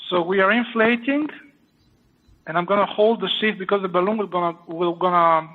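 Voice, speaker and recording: Halting French-accented man on a tinny line. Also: sits high. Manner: explanatory, halting with many fillers. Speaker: surgeon performing a live procedure. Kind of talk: live procedure commentary.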